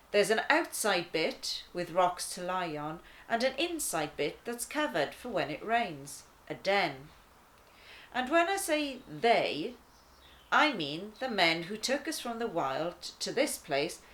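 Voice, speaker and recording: A woman reading a children's story as a laid-back talking lion, conversational and wry, with dramatic pauses. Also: mid-range voice, fairly bright tone. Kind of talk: first-person children's storybook narration.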